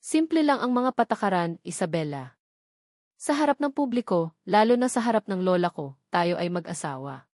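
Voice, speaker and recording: A woman's voice: lowish voice, sing-song, moderate pace, darkish tone, no room sound. A woman narrator acts out a rich, commanding husband in a romantic audio drama. He speaks in curt, businesslike orders with controlled, emotionless delivery. The recording is dry and free of room sound. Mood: cold, controlled.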